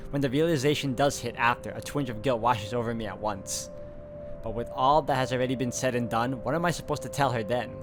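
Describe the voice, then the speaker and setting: Man reading a game aloud over music. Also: medium pitch. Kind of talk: reading visual novel narration aloud, with casual asides.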